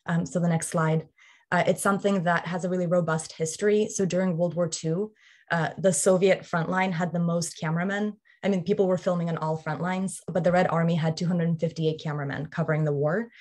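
A woman's voice: lowish voice, fairly even intonation, brisk, darkish tone. A woman in her thirties from Ukraine, a film scholar giving a fluent presentation over a video call with frequent "um" fillers.

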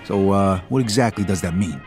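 An actor playing a guarded, sardonic young gangster recruit in a game cutscene, casual and clipped, with an American accent. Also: male voice, low-pitched, a little fast, darkish tone, lightly acted. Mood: uneasy. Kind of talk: video game cutscene acting. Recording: music behind the voice.

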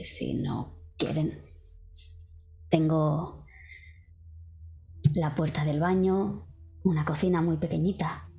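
A woman's voice: low-pitched, gravelly, a little mellow. A woman with an accent from Spain, improvising descriptions as a player in a tabletop game, hesitant and searching for words. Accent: Spanish (Spain). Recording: faint music behind the voice.

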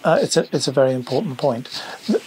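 A man's voice: mid-range voice, animated, quick pace, noisy background. A man interviewing by telephone, effusive and a little hesitant in his phrasing.

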